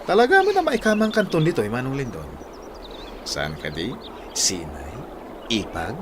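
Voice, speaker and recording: A man's voice: mid-range voice, wildly swooping pitch, moderate pace, noisy background. A man playing a cheeky, timid younger companion in a radio drama. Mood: teasing, excited.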